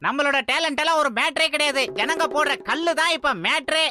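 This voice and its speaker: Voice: high-pitched, fairly even intonation, fairly quick, bright, forceful tone, faint music behind. An actor voicing a skeptical, cautious male sidekick who always worries, in a cartoon dub. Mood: worried, doubtful.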